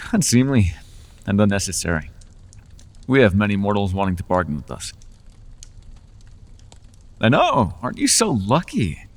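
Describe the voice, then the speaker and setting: Man doing a fey lord, charming and manipulative, silky and coaxing, lowish voice, long reverb. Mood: welcoming. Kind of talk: ASMR roleplay.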